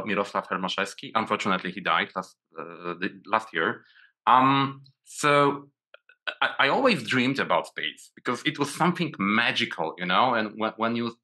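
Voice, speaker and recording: A man's voice: on the low side, bright, forceful tone. A Polish man in his forties with a Polish accent, a guest on a video call interview, talking with many restarts and repeated words. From Poland. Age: 40s.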